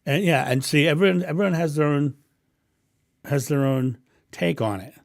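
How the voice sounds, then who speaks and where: A man with a booming voice hosting a live stream, answering viewers' questions in a rambling, animated way and acting out thoughts. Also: moderate pace, creaky, no echo, studio quality. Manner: animated, acts out inner thoughts with raised voice; rambling with frequent ums and restarts. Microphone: condenser mic through a mixing board.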